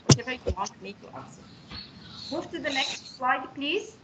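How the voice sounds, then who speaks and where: A woman's voice: high voice, lively intonation, normal speed, very noisy. A woman teaching English in an online class, patiently repeating and drilling example phrases over a video call.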